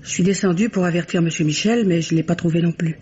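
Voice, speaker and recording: An actress playing an anxious, deferential housemaid giving testimony in a radio mystery, hesitant and earnest. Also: female voice, on the low side, fast, warm tone, close to an everyday voice. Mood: flustered, deferential. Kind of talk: French radio mystery drama acting. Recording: dull, noisy old radio recording.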